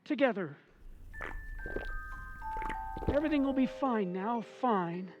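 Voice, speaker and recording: Scheming henpecked husband, radio horror, male actor: high, slightly dull audio, coaxing, anxious, stammering. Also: lively intonation, normal speed, music in the background. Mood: nervous. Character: henpecked, scheming, nervous husband with false sweetness. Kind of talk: old-time radio horror acting.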